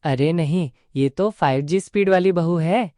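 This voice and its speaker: A woman's voice: fairly low pitch, unhurried, dark tone, no room sound, studio quality, close to an everyday voice. A woman voicing a bossy, demanding middle-aged mother-in-law in a cartoon story. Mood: teasing. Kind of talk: cartoon voice acting.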